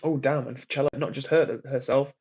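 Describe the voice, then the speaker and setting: A man's voice: mid-range voice, steady tone, moderate pace, darkish tone, breathy edge, highs cut off. Chatty man reading stream chat. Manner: chatty, rambling, friendly to chat. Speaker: livestream host. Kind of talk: casual livestream chat reading comments. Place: hotel room.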